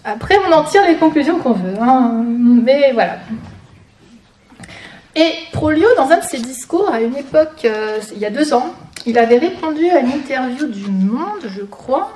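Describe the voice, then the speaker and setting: A woman's voice: high-pitched, expressive, moderate pace, darkish tone. A woman giving an informal public lecture as a guest speaker, with wry, sarcastic asides. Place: conference room before an audience. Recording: echoey room, distant mic, noisy.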